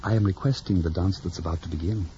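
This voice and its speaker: An actor playing an amiable, smitten young gentleman in a period radio drama, courtly and effusive. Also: sits low, steady tone, fast, slight background noise, natural voice. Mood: courtly, cheerful. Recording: dull radio recording with music behind.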